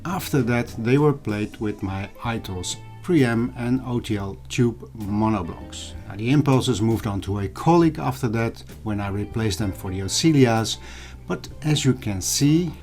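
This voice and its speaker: A middle-aged man hosts a scripted vlog with a medium-pitched voice. He explains things in a measured way and talks straight to the viewer, with clearly audible guitar music playing behind him. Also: animated, darkish tone. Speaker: video channel host. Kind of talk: scripted vlog narration over old photos and footage.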